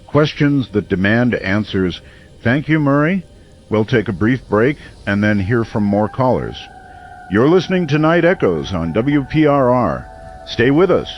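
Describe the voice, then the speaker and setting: A man playing a brooding, earnest small-town radio host in an audio drama, delivering a measured, portentous monologue. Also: medium pitch, big pitch swings, highs cut off, faint music behind. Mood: solemn. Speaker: late-night community radio host.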